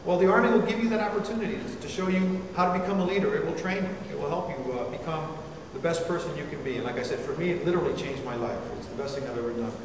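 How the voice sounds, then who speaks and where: A man in his forties, originally from Chile, gives a chatty talk to cadets and keeps throwing questions at the crowd. His slightly high voice echoes around a large hall and is picked up from far off. There is steady noise in the background. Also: racing, few pauses. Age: 40s. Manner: chatty, asks the audience questions. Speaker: army band musician.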